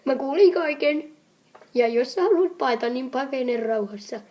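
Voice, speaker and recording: A woman's voice: high-pitched, lively intonation, darkish tone. Woman acting old grandpa, muddled, indulgent, rambling, comedy cartoon fandub. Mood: musing, indulgent. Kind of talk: hobby comedy anime fandub.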